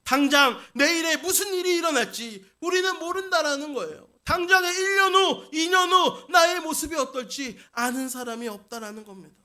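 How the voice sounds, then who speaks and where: Pastor guy giving a sunday sermon, super high voice, keeps asking the church questions. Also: normal speed, few pauses, pushed and bright. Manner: asks the congregation questions, emphatic repetition. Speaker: senior pastor. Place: church service.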